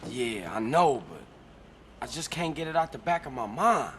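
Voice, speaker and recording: A man's voice: medium pitch, big pitch swings, normal speed, fairly bright tone. A man playing an exasperated, defensive young street gangster in a video game cutscene, with some noise in the recording. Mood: subdued. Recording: game audio with noise underneath.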